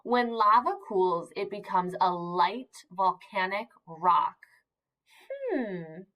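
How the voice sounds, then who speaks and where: A woman teacher reading aloud to young children, expressive and encouraging, using simple emphasized words and pausing to ask questions. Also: medium pitch, muffled recording. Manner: encouraging, asks questions and pauses for answers. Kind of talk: interactive read-aloud lesson for young children.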